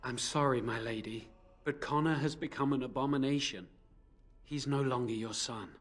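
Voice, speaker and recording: A man's voice: medium pitch, normal speed, faint music behind, close to an everyday voice. A man playing a guilty, apologetic mage in a fantasy video game, earnest and pleading. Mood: regretful.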